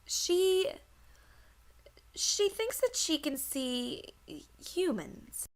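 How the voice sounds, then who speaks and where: Woman acting a high, teasing, animated mare. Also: drawn-out words, exaggerated voice. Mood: confiding. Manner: coy, teasing. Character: playful, teasing, gossipy female pony. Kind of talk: audiobook character acting.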